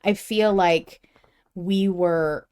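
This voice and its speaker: A woman hosting a casual fan discussion of an audio drama, talking in an animated way and repeating phrases for emphasis. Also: on the low side, drawn-out words, clear, resonant tone. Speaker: podcast host.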